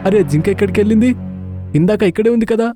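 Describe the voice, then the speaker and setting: A man voicing a wary, hungry wolf hunter in an animated story, wondering aloud to his partner. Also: high-pitched, quick pace, over music, natural voice. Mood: surprised.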